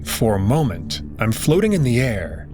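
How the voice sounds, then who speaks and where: A man reading dramatic first-person fiction in a dreamy, drawn-out way. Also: mid-range voice, big pitch swings. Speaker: reader voicing a first-person protagonist. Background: music.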